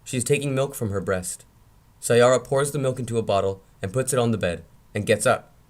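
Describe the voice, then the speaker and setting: A man's voice: mid-range voice, brisk. A man reading screenplay scene descriptions as narrator in a table read.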